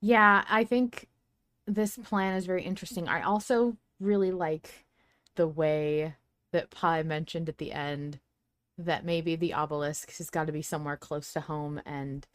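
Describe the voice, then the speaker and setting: A woman's voice: low-pitched, fairly even intonation, unhurried, no room sound. A woman hosting a podcast, talking in a casual, conversational way and reacting readily. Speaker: podcast host.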